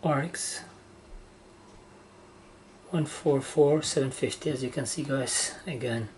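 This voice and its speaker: A man giving a casual, halting step-by-step demonstration of equipment in short phrases, as a hobbyist. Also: medium pitch, a little mellow. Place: indoor room with wooden surface. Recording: noisy handheld camera recording indoors.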